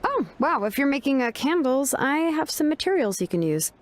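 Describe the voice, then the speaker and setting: Kind, higher-voiced young warrior. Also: female voice, very animated, normal speed. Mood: supportive. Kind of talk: scripted video game voice acting.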